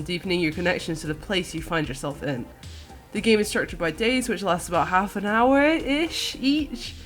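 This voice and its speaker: A woman's voice: lowish voice, fairly bright tone. A woman giving an enthusiastic, earnest personal video game review, partly scripted and partly conversational. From countryside. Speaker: podcast guest reviewer. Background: music.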